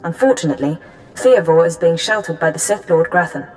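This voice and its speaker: An actress voicing a strict intelligence handler in a video game, clipped and businesslike. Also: female voice, fairly low pitch, animated, a little fast, darkish tone, a little hiss or hum, close to an everyday voice, rough recording. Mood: brisk, cool. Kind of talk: video game dialogue acting.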